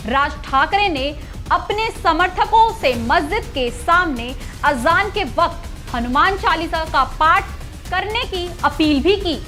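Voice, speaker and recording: A woman's voice: extremely high pitch, pushed and bright. A woman news anchor reading a scripted news report.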